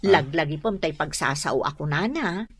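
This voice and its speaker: A woman's voice: sits low, lively intonation, normal speed, breathy edge, no echo, heavily acted. A woman playing an earnest, coaxing young wife in a radio drama, with an Ilocano accent. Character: earnest pregnant young wife, gentle but insistent peacemaker.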